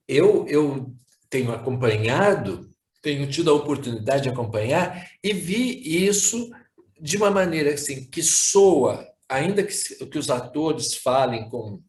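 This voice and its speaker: Roomy echo, man, Brazilian accent, average pitch, interviewer, casual conversation, hesitant phrasing. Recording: roomy, echoey sound.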